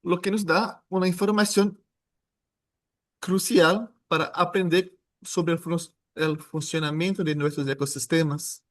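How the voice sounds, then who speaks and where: A man with a Brazilian Portuguese accent lectures at a seminar, loose and jokey, tripping over words and restarting. His voice sits a little high for a man. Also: fairly even intonation. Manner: informal, joking, halting with restarts. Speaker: guest lecturer. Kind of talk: academic seminar talk.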